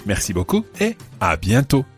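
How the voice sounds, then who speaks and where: A man's voice: lowish voice, huge pitch swings, normal speed, soft background music. A man presenting a scripted language lesson, explaining step by step and repeating phrases. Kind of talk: scripted explanatory teaching narration.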